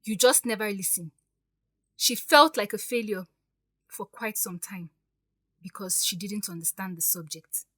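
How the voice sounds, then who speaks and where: A woman's voice: mid-range voice, a little slow, long pauses, a bit bright, no echo, studio quality. A woman with a Nigerian accent playing a hurt, defiant young stepdaughter in an audio drama, speaking with emphatic, pleading firmness. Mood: wounded, reproachful. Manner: emphatic, pleading yet firm.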